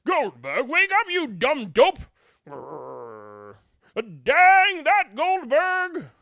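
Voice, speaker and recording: A woman voicing angry, grouchy villagers and servants yelling in a children's storybook. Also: high voice, huge pitch swings, very slow, gravelly, no echo, voice pushed up, exaggerated voice. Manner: shouty, grouchy. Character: angry, grumbling villagers and household staff yelling.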